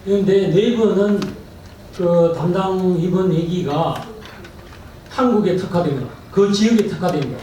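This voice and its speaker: A man's voice: sits high, a little slow, warm tone. A man in the audience asking a long, earnest question at a forum. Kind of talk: question from the floor. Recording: echoey hall, speaker far from mic, faint noise.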